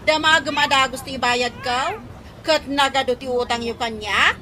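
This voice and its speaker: An actress plays an impatient female debt collector with a very high voice and an Ilocano accent. She scolds and demands in radio comedy drama style, over clearly audible noise. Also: moderate pace, bright, forceful tone. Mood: angry scolding. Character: nagging, impatient female neighbour collecting debts.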